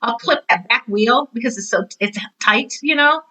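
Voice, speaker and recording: A woman in her sixties, a retired teacher, chatting casually as an interview guest in an opinionated, animated way. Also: a little high, moderate pace, rough recording.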